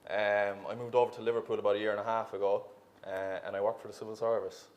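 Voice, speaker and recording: A man, introducing himself on a conference panel in a brief, relaxed and slightly unsure way. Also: fairly low pitch, fast. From Ireland. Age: 20s.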